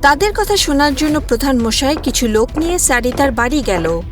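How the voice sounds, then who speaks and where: A woman's voice: high voice, animated, a little fast, over music. A woman narrator reading a scripted cartoon fairy tale.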